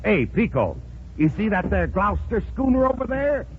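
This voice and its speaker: An actor playing a blustering, roguish fishing boat captain with a folksy, ungrammatical drawl in 1940s radio comedy style. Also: male voice, high voice, very animated, normal speed. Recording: tinny, noisy old radio recording.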